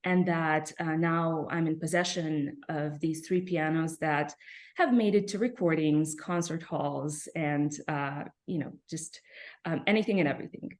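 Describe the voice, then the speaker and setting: A woman from Serbia, a pianist, answering interview questions reflectively with frequent soft fillers and pauses. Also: low voice. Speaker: pianist guest.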